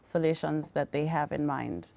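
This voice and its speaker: A woman's voice: deep voice, moderate pace, gravelly, mellow, a little hiss or hum. A woman hosting a talk show, giving short affirming murmurs and then wrapping up the segment. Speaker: show host.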